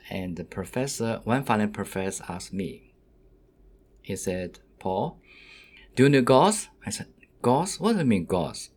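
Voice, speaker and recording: Casting: middle-aged male university lecturer, non-native English speaker, deep voice, unhurried and halting delivery to students. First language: not English.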